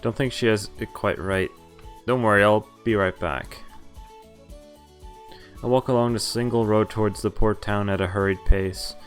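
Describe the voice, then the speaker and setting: A man's voice: on the low side, steady tone, normal speed, long pauses. A man streaming a visual novel, reading its narration and dialogue aloud in a casual, lightly acted way, with offhand reactions. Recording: music playing behind the voice. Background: game music.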